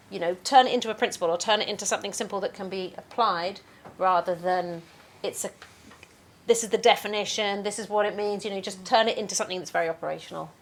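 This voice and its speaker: A woman's voice: mid-range voice, quick pace. A woman panelist speaking in a practical, matter-of-fact way in a panel discussion.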